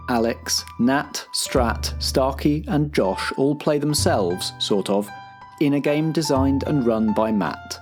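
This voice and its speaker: A man's voice: mid-range voice, darkish tone. A man narrating as game master and presenter of a roleplaying podcast, in a descriptive storytelling style. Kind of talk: scene narration and scripted credits.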